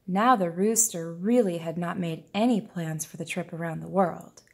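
A woman reading a children's picture book aloud in a deliberate, expressive storytelling manner. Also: fairly low pitch, gravelly. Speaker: preschool teacher reading aloud.

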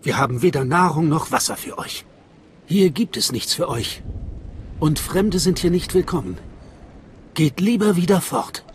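A man's voice: a little high, expressive, measured pace, long pauses, slight background noise. A man playing a guarded, unwelcoming cult gatekeeper in a German game dub, stern and dismissive.

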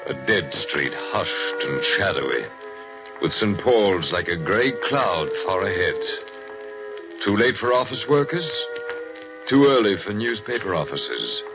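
An actor playing a grave male storyteller, narrating a 1940s radio mystery in a slow, measured, ominous way. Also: low voice, very animated, exaggerated voice. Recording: muffled 1940s radio broadcast with music.

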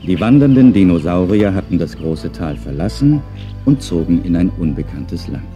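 A man narrates a children's audio story in a low, very soft and dark voice. He tells it as scripted storybook narration, with light music underneath. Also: big pitch swings, normal speed.

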